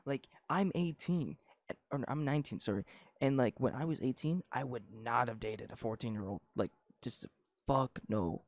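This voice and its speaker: A man's voice: mid-range voice, normal speed, no echo, studio quality. A nineteen-year-old man from Canada, co-hosting a podcast in casual, profane, rambling opinion chat. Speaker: podcast co-host.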